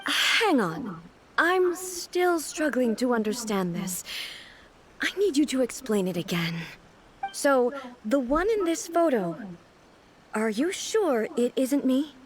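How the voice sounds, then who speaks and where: A woman playing a dignified, earnest, naively puzzled ruler in a video game, speaking in a formal, deliberate way. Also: sits high, sing-song, heavily acted. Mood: confused. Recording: music playing behind the voice.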